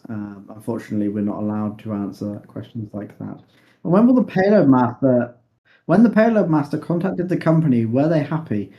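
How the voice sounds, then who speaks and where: A young man hosting a mock launch webcast, joking and often pausing with fillers. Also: medium pitch, highs cut off. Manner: joking, hesitant with many fillers. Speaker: webcast host.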